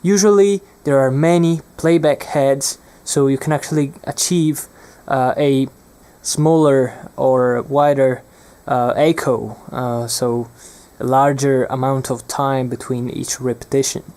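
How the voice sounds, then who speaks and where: A man's voice: medium pitch, steady tone, measured pace, warm tone. A young man presenting an unscripted hands-on electronics demonstration, speaking hesitantly and searching for his words.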